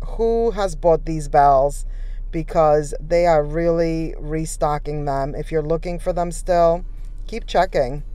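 A woman's voice: low-pitched, slow pace, warm tone, smooth, rough recording. A woman narrating a shopping vlog in a casual, enthusiastic, conversational way, talking straight to viewers. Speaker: shopping vlogger. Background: background music.

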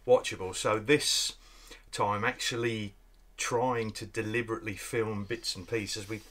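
A man's voice: lowish voice, fairly even intonation, measured pace, a bit bright. A man chats casually, rambling along with frequent ums as he shows viewers around. He sits in the cramped cabin of a narrowboat, recorded on a small action camera. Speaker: vlogger. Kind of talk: casual tour narration. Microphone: handheld action camera.

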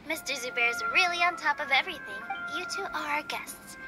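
A woman voicing a kind young female dancer in a video game, speaking softly and reassuringly. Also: high-pitched, brisk, close to an everyday voice. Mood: gentle. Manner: soft and reassuring. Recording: game audio with loud music.

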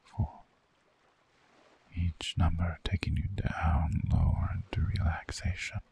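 A man voicing a soothing, seductive vampire lover in a slow, hypnotic ASMR sleep roleplay, half-whispering with a breathy, gravelly voice. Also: very deep voice, flat delivery, darkish tone, heavily acted. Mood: hypnotic, lulling. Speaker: ASMR voice actor.

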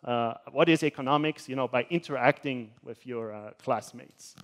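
A man's voice: medium pitch, moderate pace. A young man from Switzerland giving a university lecture with slides, hesitant and full of filler sounds as he walks around a hall stage. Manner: gestures while speaking, walks around the stage. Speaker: guest lecturer. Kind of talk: academic talk with slides.

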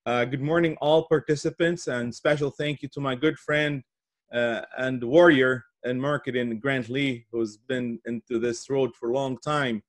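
A middle-aged man from Brazil giving a presentation on a video call, speaking haltingly with repeated words and pauses. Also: medium pitch, steady tone. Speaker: guest speaker giving opening remarks. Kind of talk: presentation at an online summit. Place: indoor office, on a video call.

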